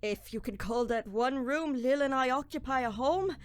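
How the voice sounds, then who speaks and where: Female actor as guilt-ridden young man, high voice, anguished halting delivery, melodramatic horror audio play, dry sound. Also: no echo, close to an everyday voice. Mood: weary, despairing.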